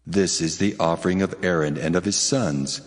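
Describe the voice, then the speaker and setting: A man voicing God in a dramatized scripture recording, giving grave, slow commands. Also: deep voice, natural voice. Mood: solemn, commanding. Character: God, a commanding, solemn male divine voice. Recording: long reverb over soft music.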